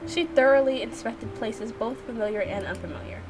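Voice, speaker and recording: A woman's voice: fairly high pitch, a little fast, background noise. A woman hosting a game playthrough, narrating it and adding playful, slangy, casual reactions. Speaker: gaming channel host. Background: background music.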